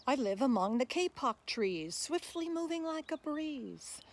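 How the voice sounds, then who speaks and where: A woman's voice: sits high, sing-song, measured pace, a little hiss or hum, voice pushed up, full character voice. A woman voicing a small, swift, mischievous mongoose lemur in a rhyming picture book, with bouncy, emphatic delivery. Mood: playful, boastful.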